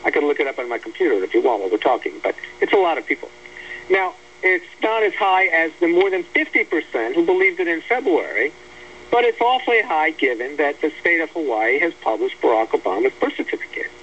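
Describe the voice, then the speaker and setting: A man's voice: a little high, very animated, brisk. An American man giving blunt, emphatic, argumentative political commentary as a guest on a talk radio show. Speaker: guest commentator discussing an article they wrote. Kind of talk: opinionated political radio discussion. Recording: dull lo-fi radio audio, faint hum.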